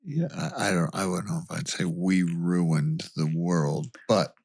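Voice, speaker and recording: A man with a very deep, gravelly, somewhat husky voice, co-hosting a podcast. He speaks in short, dry asides and corrections. The sound is dry and close. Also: measured pace, darkish tone, dry recording. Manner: brief, dry interjections. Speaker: podcast co-host. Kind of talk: casual podcast chat.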